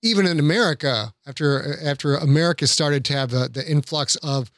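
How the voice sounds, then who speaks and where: Higher-pitched man, dry podcast guest. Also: fairly quick, fairly bright tone, no echo. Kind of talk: thoughtful conversational commentary.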